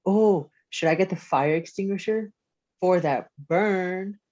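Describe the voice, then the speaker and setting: A woman's voice: lowish voice, slow, darkish tone. A woman playing a precocious, dryly cheeky child in a comedy table read, heard over dull lo-fi call audio. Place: remote video call read.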